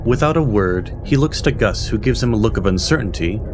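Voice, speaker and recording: A man's voice: lowish voice, expressive, fairly quick, over music, lightly acted. A man playing a solemn storyteller, giving dramatic scripted narration in an audio drama. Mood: solemn, suspenseful.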